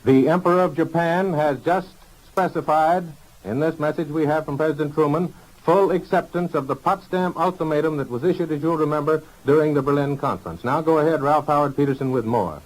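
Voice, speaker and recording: A man delivering a live 1940s network radio news bulletin with formal, deliberate announcing from a newsroom. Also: fairly high pitch, rough recording. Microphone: broadcast studio microphone.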